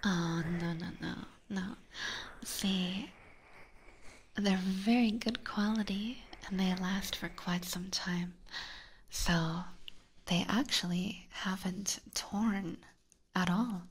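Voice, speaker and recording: A woman with a Californian accent doing playful ASMR chat in a breathy whisper, close to a binaural microphone. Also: mid-range voice, fairly even intonation, slow, slight background noise. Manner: playful, teasing, giggly. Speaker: ASMR streamer playing a vampire catgirl. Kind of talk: ASMR, casual chat with live viewers. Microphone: close binaural ear microphone.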